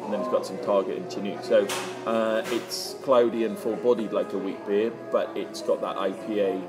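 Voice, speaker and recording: A man being interviewed, answering informally and haltingly. His voice is on the low side, and music plays clearly behind him. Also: steady tone. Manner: hesitant, halting. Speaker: interview guest, brewery founder.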